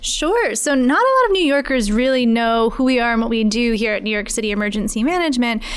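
A woman's voice: on the high side, expressive, fast talker, smooth. A woman press secretary giving explanatory answers as a podcast guest.

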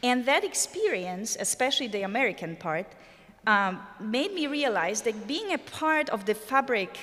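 A woman with a Polish accent, an organist in her forties, giving an earnest spoken introduction to a recital and pausing often with fillers. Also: fairly high pitch, sing-song, a bit bright. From Poland. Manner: earnest, thoughtful, pauses with fillers. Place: university chapel. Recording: long reverb of a large hall.

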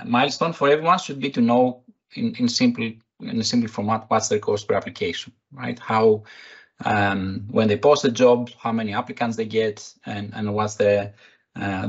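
A man with a Greek accent, a recruitment technology executive answering interview questions in a halting way, full of fillers and restarts. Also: on the low side, steady tone, breathy edge, highs cut off. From Greece. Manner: hesitant, many fillers and restarts.

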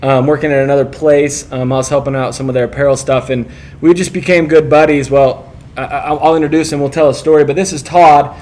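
A man's voice: on the high side, steady tone, brisk, darkish tone. A man co-hosting a casual business podcast, upbeat and jokey, repeating words as he asks questions. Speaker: podcast co-host, interviewer. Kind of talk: casual interview chat.

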